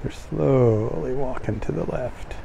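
A man hosting a live beach walk, chatting in a relaxed, folksy, cheerful way while filming outdoors on a phone. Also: mid-range voice, slow, creaky, background noise. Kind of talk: casual live narration while walking. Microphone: phone camera held while filming.

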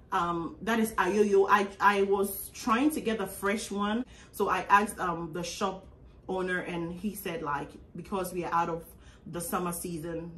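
A young Ghanaian woman talks her way through a grocery haul in a relaxed, chatty vlog voice, peppered with ums. She sits across the table from the camera, so the sound carries a roomy echo and some distance, over a faint hiss. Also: fairly low pitch. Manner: chatty, animated, many um fillers. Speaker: vlogger. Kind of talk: casual grocery haul chat. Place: small indoor room at a table.

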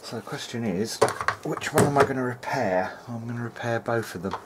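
A man's voice: medium pitch, brisk. A man thinking aloud hesitantly as he hosts a casual hands-on electronics demonstration at a workbench. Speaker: host, hobbyist electronics repairer. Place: indoor workbench. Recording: clear background noise, workshop room.

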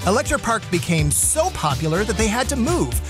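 A man's voice: sits high, sing-song, brisk. A man in his forties co-hosting a travel show, teasing and theatrical in scripted banter. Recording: music under the voice.

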